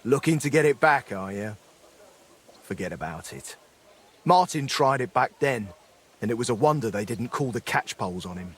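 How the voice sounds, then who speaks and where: A middle-aged former smith worn down into mining, in a dramatic game. His voice is husky and very animated in pitch. He talks in an earthy, colloquial way, full of rueful apology. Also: normal speed. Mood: resigned.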